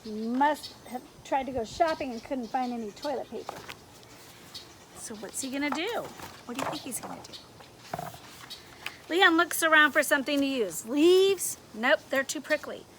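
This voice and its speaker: A woman's voice: high-pitched, lively intonation, normal speed, noisy background. A woman reading a picture book aloud outdoors for children, expressively, often stopping to ask them questions. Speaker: story reader for young children.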